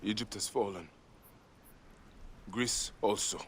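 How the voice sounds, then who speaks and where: Game role: resolute, world-weary Egyptian warrior, grave and measured, slight background noise. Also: male voice, fairly low pitch. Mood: solemn. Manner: solemn, deliberate. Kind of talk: dramatic video game acting.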